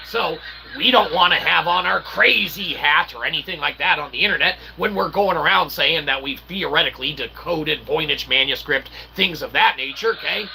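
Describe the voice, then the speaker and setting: A man speaks in a slightly high voice with heavy fry running through it. He rambles like an amateur philosopher, stacking up wordy, mock-formal phrases and punctuating them with little check-in tags. Clear noise sits behind him. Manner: verbose, mock-formal wording with constant "okay?" and "all right?" tags. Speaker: independent researcher and channel host. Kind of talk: rambling philosophical monologue to camera.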